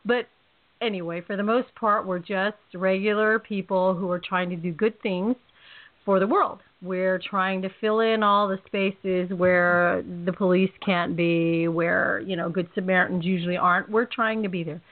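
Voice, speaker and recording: A talkative woman with a California accent hosts a loose, joking radio chat. She rambles and laughs easily. Her voice comes through a narrow, phone-like line at a middling pitch. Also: steady tone, moderate pace. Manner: chatty, rambling, laughs often. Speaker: host. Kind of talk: casual podcast chat. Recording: tinny phone-line audio.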